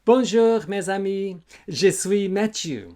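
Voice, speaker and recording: A male children's storyteller with a very lively, swinging pitch. He takes his time, delivering lines theatrically and rhythmically as if leading kids in a chant. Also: slow. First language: English. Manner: theatrical, rhythmic, inviting audience participation. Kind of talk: animated children's storytime reading with scat chanting.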